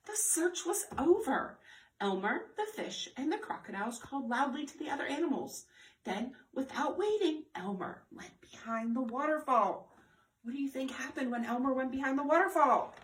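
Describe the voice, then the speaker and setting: Animated woman reading to children, roomy echo. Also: sits high. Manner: expressive, asks the listeners questions. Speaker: children's library storytime host. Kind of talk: animated read-aloud for young children. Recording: roomy echo, distant microphone.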